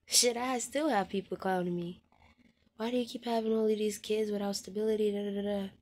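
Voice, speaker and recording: A woman in her twenties speaking candidly to her viewers, frank and heartfelt, often dropping to a soft hushed voice and swearing bluntly. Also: mid-range voice, steady tone, a little fast. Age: 20s. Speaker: vlogger. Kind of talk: candid personal monologue to viewers.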